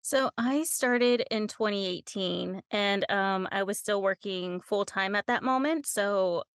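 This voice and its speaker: A woman's voice: mid-range voice, a little slow, clean tone, no echo. A woman with a very young-sounding voice, an audiobook narrator chatting informally as an interview guest and giggling often. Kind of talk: casual conversational interview.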